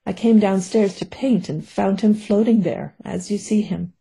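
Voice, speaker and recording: A woman's voice: mid-range voice, moderate pace, vocal fry, dark tone, slightly rough, low-quality recording. A woman voicing a rattled, earnest widowed lady.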